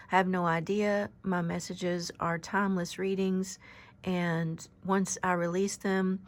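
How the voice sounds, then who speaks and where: A very soft-spoken woman in her fifties, giving an unscripted oracle card reading with gentle, halting delivery and frequent pauses. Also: on the low side. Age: 50s.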